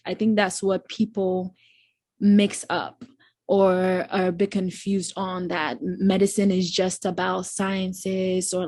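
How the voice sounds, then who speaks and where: A young woman in her twenties speaks with a Ghanaian accent at a middle pitch. She sounds relaxed and reflective, leaning on ums and you-knows as she thinks aloud. The sound is dry and close, with no room around it. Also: dry recording. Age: 20s. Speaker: guest, incoming medical student. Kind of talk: casual interview chat.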